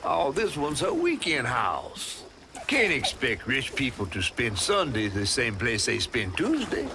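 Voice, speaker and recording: Lively old Southern storyteller. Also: male voice, medium pitch, measured pace, a little hiss or hum, full character voice. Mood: wry, folksy. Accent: American South. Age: older. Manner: folksy, drawling storyteller. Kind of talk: theatrical folk-tale narration for a video game. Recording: music under the voice. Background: game music.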